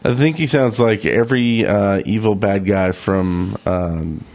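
A man's voice: lowish voice, measured pace, a little mellow. A man co-hosting a podcast, chatting casually, with some noise on his microphone. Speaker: podcast co-host. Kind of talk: casual chat. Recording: background noise on the mic.

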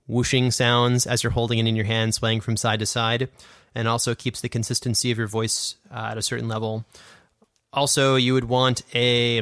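Man, medium pitch, casual instructional podcast, conversational enthusiastic explainer, very dry studio mic sound. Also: a bit bright, smooth, no room sound. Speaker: podcast host and audio drama producer. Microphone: condenser studio microphone.

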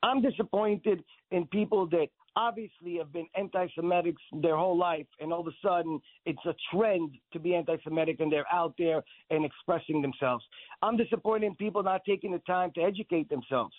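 A man's voice: high-pitched, brisk, no echo. A man from Israel, a guest on a radio interview, speaking earnestly and insistently and repeating words as he presses his points. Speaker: guest, actor and director. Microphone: phone. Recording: tinny phone line.

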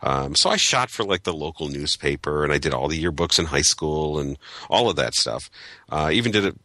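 A man in his forties from Chicago, a photographer guest telling his story in an animated way with many fillers. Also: rumbling low, fairly quick, no echo. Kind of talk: interview answers.

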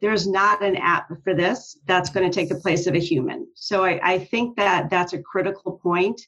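A woman's voice: fairly low pitch, moderate pace, fairly bright tone, husky. A woman answering conversationally as an interview guest, earnest and emphatic, on a dull remote-call recording. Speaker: interview guest, health organization leader.

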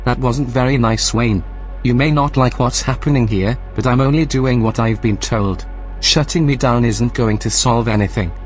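Measured, smug station computer played by a man. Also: mid-range voice, soft background music, close to an everyday voice. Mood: smug reproach. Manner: measured, formal, matter-of-fact. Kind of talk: audio drama acting.

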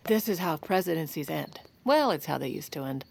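A woman's voice: low voice, lively intonation, fairly quick, vocal fry, very noisy. An actress playing a wry, exasperated First Lady who confides her story in comedic audio fiction with a dry manner. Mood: dry, confiding. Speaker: narrator and lead character.

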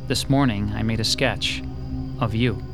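A hesitant, uneasy sketch artist. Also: male voice, lowish voice, fairly even intonation, a little slow, gravelly, over music. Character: uneasy male sketch artist haunted by déjà vu. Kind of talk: classic radio drama acting.

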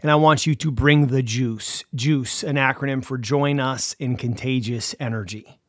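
A man's voice: medium pitch, moderate pace, a bit bright, no echo, studio quality. A man giving a motivational talk as a mental performance coach, with a punchy, emphatic delivery full of slogans.

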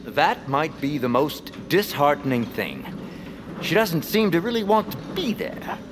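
An earnest, grandiose male radio host for a comic audio drama. His voice sits high and swoops with wordy, effusive conviction, with clear ambient noise behind it. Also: big pitch swings, measured pace.

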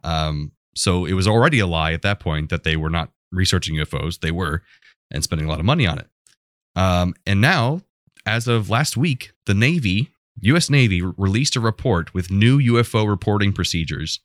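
Low-voiced American man chatting on a podcast. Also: moderate pace, a bit bright, no echo, studio quality. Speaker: podcast co-host. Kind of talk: casual podcast chat.

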